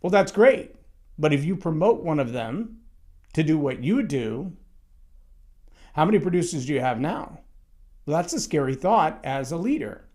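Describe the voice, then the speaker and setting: Loud-voiced man talking about leadership. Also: a little high, very animated, normal speed, long pauses. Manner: conversational, emphatic, with frequent restarts. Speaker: leadership speaker. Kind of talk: solo talk on leadership.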